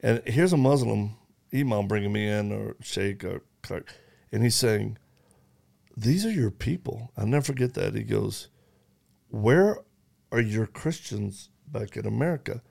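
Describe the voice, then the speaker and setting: A man's voice: on the low side, animated, a little slow, gravelly, darkish tone. A man hosting a conversation, telling a story earnestly and quoting others, with frequent restarts. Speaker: host. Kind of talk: conversational interview talk.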